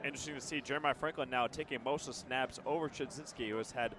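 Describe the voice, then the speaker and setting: A man's voice: medium pitch, fast talker, a crowd in the background. A man giving conversational, analytical color commentary on a live football radio broadcast. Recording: outdoor stadium broadcast with background noise.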